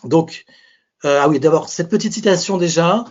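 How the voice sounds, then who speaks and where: A man's voice: fairly high pitch, normal speed, darkish tone. A man leads a live webinar as a speaking coach, his slightly raised voice chatty and searching, restarting phrases as he thinks aloud. The audio comes through a dull computer microphone.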